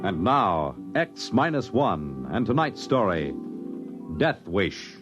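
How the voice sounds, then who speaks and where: A man's voice: lowish voice, very animated, takes it slowly, over music. An American man announcing in a polished, emphatic, theatrical 1950s radio style, on a dull old recording.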